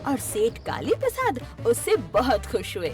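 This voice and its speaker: A woman reads a scripted cartoon story as narrator. Her voice is a bit high and extremely lively in pitch, with music clearly playing behind it. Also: measured pace.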